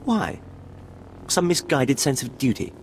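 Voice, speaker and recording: An actor playing a pompous, sneering Sith villain, cold and theatrical, in a video game cutscene. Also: male voice, mid-range voice, sing-song, unhurried, creaky, slight background noise. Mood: menacing, scornful. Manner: mocking, pompous.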